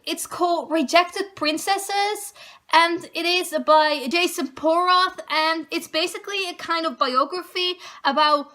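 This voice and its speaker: Girl talking books, super high voice, chatty and eager, fixes herself mid-sentence. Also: steady tone, unhurried, sharp tone. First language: Dutch. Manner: chatty, self-correcting, eager. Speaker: book vlogger. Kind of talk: casual book-list chat to camera.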